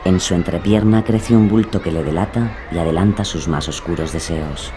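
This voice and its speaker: A man's voice: sits low, moderate pace, noisy background. A man narrating a scripted, dramatised true-crime story.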